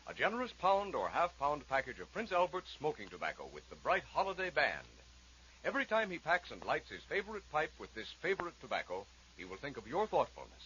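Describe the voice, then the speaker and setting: A man's voice: on the high side, huge pitch swings, brisk, pushed and bright. A man reading scripted advertising copy in a 1940s radio style. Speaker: advertising announcer. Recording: muffled, faintly noisy 1940s radio.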